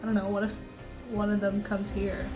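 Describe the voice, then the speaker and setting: A woman's voice: mid-range voice, monotone, moderate pace, lo-fi sound, music in the background. A woman playing a tabletop roleplaying game, chatting and improvising in character.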